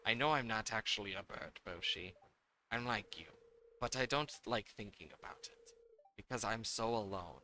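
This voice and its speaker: A man voicing a lonely, wistful young island boy from a video game, speaking softly and haltingly in simple phrases. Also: on the low side. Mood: lonely, sad. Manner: soft, halting, simple speech. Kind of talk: reading video game dialogue aloud.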